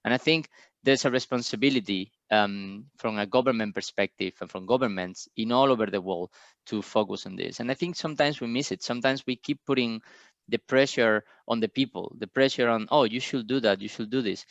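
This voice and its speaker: A man's voice: medium pitch, brisk, gravelly, dry recording, lo-fi sound. A man from Spain, speaking passionately on a panel and firing off rhetorical questions. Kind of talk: online panel discussion.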